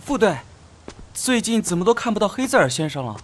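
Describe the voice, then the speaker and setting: A man playing an eager, timid young subordinate knight in an animated drama, hesitant and earnest. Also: high voice, fairly quick, a crowd in the background. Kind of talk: Chinese animated drama acting. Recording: loud music under the voice.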